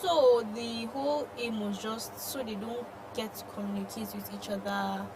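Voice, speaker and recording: A woman's voice: fairly high pitch, fairly even intonation, moderate pace. A woman with a Nigerian accent co-hosting a conversational discussion of the news. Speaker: talk show co-host discussing the news. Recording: noisy room ambience.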